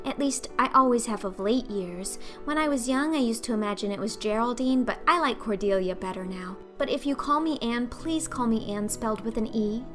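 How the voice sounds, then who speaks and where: A woman's voice: a little high, moderate pace, hardly any pauses, faint music behind, natural voice. A woman voicing a talkative, imaginative eleven-year-old orphan girl in an audiobook, theatrical and earnest in her pleading. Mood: eager, pleading. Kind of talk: audiobook character acting.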